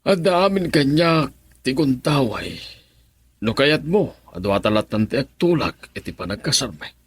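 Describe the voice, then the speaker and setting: An actor playing a determined, lovestruck older suitor in a radio drama, with an Ilocano accent. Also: male voice, medium pitch, big pitch swings, normal speed, slightly rough, no echo.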